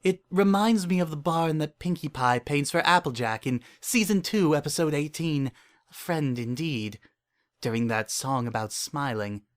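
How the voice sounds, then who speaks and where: A man's voice: on the high side, moderate pace, natural voice. A man plays an unhinged narrator telling his own horror story in the first person. He is obsessive and paranoid, with an earnest, oddly childlike way of putting things and a brooding undertone. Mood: hopeful, wistful. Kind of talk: first-person dramatic horror fan fiction reading.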